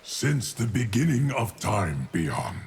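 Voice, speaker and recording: An actor playing an ageless, solemn guardian of time in a video game, speaking in a deep, gravelly, measured and formal voice. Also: male voice, big pitch swings, background noise, full character voice. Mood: grave, calm. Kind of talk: video game character dialogue.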